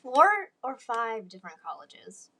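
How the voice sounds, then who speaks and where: A woman's voice: a little high, expressive, measured pace, fairly bright tone. Guest, young woman, Southern drawl, laughs easily, self-deprecating. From Texas. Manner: chatty, giggly, self-deprecating. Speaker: guest, interior designer. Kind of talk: casual interview chat.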